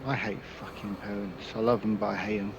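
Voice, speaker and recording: A man on a headset explaining flight-sim controls, a little hoarse from losing his voice, swearing when things go wrong. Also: on the low side, brisk, background noise. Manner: flat, swears when frustrated. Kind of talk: casual gaming chat.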